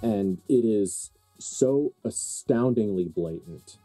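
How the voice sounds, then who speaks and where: Mellow, dark-voiced man narrating as game master. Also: on the low side, expressive, slow, soft background music, rough recording. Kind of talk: improvised narration of a tabletop horror game.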